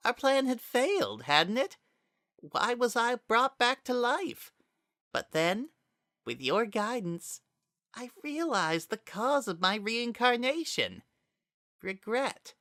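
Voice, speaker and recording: A menacing child spirit in a stolen monster body , as a narrator acts it out, speaks in a bright, raised voice. The delivery is theatrical and slow, coaxing and fervent, with a hollow, maddened edge. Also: medium pitch, sing-song, dry recording, clean studio sound, pitched above the performer's own voice, heavily acted. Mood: eerie wonder. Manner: slow, theatrical, coaxing monologue with deliberate pauses. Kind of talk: dramatic reading of fan fiction.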